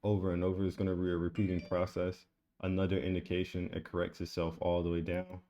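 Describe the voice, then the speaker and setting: Low, flat-voiced man walking through charts. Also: quick pace, muffled recording. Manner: casual, repetitive chart walkthrough. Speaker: trading educator. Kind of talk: screen-share trading tutorial.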